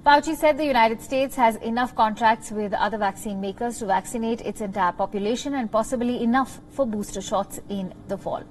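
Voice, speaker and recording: A woman's voice: a little high, a little fast, hardly any pauses, darkish tone, a little hiss or hum. A woman presenter reading scripted news narration in a formal register.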